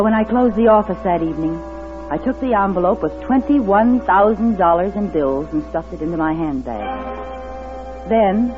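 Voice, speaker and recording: A woman's voice: on the low side, lightly acted. An actress playing a jilted, embittered young secretary turned thief in 1940s radio drama style, acting and narrating her own story. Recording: tinny vintage radio recording, music behind.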